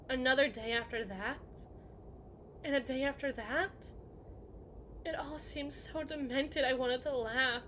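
A woman playing a brooding, wounded evil queen in an ASMR roleplay monologue, halting and deliberate with dramatic pauses. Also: fairly high pitch. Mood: anguished. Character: haunted evil queen, brooding, wounded, confessing a hard past. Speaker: audio roleplay performer. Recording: clear background noise, ambience.

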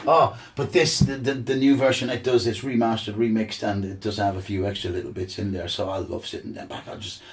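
A man's voice: lowish voice, steady tone, a little fast, few pauses, recorded from a distance. A man guest musician chatting casually, enthusiastic and rambling with repeated words. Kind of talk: casual chat.

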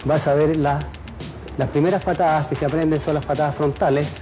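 A middle-aged man gives step-by-step martial arts instruction in a dojo. His voice sits a little high for a man, and music plays clearly along with it. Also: little pitch movement, fairly quick, dark tone. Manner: counting drills, step-by-step explaining. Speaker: karate school director and instructor. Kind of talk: instructional demonstration for TV. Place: indoor karate dojo.

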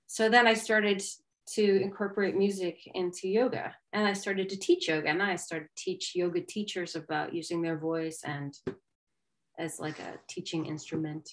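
A woman's voice: on the low side, normal speed. A middle-aged woman from Maryland, a singer and yoga teacher, chatting animatedly over a video call from her home. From Maryland, USA. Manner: animated storyteller, lively bursts of energy. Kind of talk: casual video-call interview. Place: home room.

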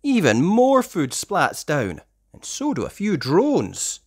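Animated children's storybook reader. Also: medium pitch, takes it slowly, husky, no echo, studio quality. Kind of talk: expressive children's read-aloud narration.

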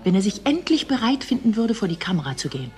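A woman's voice: mid-range voice, sing-song, quick pace, darkish tone, close to an everyday voice. A woman playing a teasing, sceptical TV company employee in a naturalistic German radio play, chatty and wry. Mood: wry, gossipy.

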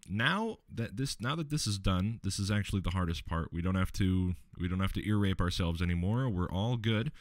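Man, very deep low voice, relaxed tutorial host, step-by-step explaining, dry close sound. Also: dry recording. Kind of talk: screen-recorded software tutorial.

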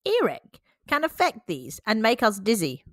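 A woman's voice: on the high side, huge pitch swings, moderate pace, dry recording, studio quality. A woman reading as a firm dog doctor. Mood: lecturing. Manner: firm and explanatory. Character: brisk, no-nonsense male dog doctor.

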